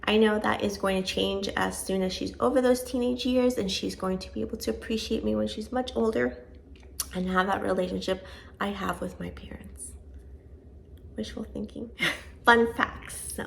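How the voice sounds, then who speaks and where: Woman, late 30s, casual rambling vlogger, gravelly fry, mid pitch. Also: a bit bright. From born in Venezuela, raised in Georgia. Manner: chatty, rambling, self-deprecating. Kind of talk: casual chat to camera.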